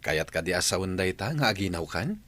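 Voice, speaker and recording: A man's voice: low-pitched, very animated, a little fast, fairly bright tone, heavily acted. A man acting a bemused young husband in an Ilocano radio comedy drama. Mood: bemused, protesting. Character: bemused, animated young husband of a pregnant wife.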